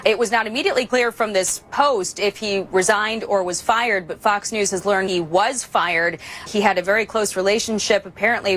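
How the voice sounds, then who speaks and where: A woman's voice: medium pitch, a bit bright. A woman delivering a scripted news report as a radio correspondent.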